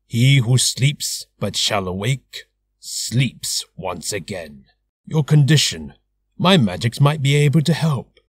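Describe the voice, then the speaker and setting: A dignified sorcerer hero played by a man speaks formally at middle pitch, theatrical and varied in tone. He is dry-witted and measured, like a game mage. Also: expressive, husky. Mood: commanding. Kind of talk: video game character voice reel.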